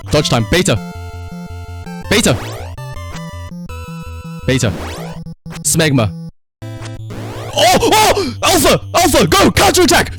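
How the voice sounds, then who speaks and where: A man with a South African accent commentating on a live gaming stream and calling out voice commands in an excitable way. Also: sits high. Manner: animated, excitable, exclamatory. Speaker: livestreamer.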